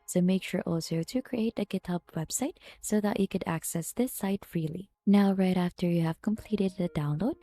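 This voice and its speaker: Female tutorial narrator, lowish and soft-edged, instructional step-by-step delivery, music underneath. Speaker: software tutorial presenter. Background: background music.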